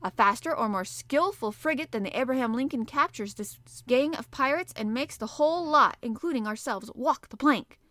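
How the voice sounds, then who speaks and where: A woman voicing a blustering, hungry male harpooner who talks loud and gruff in an audiobook. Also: high-pitched, lively intonation, normal speed, hardly any pauses, no echo, voice pushed up. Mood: impatient. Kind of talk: audiobook character voice.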